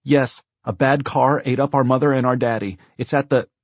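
A man's voice: medium pitch, fairly even intonation, normal speed, no room sound, pitched above the performer's own voice, natural voice. Man acting bossy, scared little girl. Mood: anxious, earnest. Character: stern, bossy six-year-old girl, frightened but determined.

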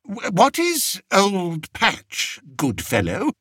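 A vain young king, as a man puts him on, fussy and impatient, his voice jumping high and bright. The lines swing up and down with airy grandeur. It sits in a dry storybook reading. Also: huge pitch swings, drawn-out words, husky, dry recording, heavily acted. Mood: haughty, impatient.

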